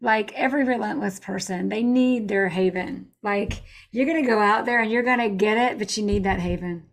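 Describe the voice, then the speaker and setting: A woman's voice: mid-range voice, moderate pace. A woman hosting a podcast interview, chatty and upbeat, eager to share favorite quotes and ask her guest questions. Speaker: podcast host. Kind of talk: casual interview chat.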